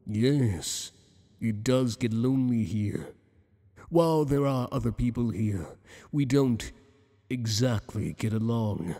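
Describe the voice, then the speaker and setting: A man's voice: mid-range voice, animated, gravelly, warm tone, in a large hall, heavily acted. A man voicing a teasing, possessive monster boss. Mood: flirtatious. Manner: teasing, flirtatious, slow and intimate. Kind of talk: ASMR roleplay.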